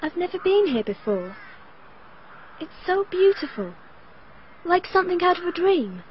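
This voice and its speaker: A woman's voice: very high voice, big pitch swings, normal speed, slight background noise. An actress playing a dreamy, naive young girl in a radio drama, speaking in a wistful, childlike way. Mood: dreamy, wondering. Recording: dull lo-fi broadcast recording.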